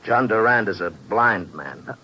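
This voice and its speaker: Desperate low-voiced tough American hustler. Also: male voice, expressive, measured pace, bright, forceful tone, dull audio, heavily acted. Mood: urgent, confiding. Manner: agitated, pleading, slangy tough talk. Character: desperate, bitter down-on-his-luck male private operator. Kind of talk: 1950s radio drama acting. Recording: noisy old 1950s radio recording.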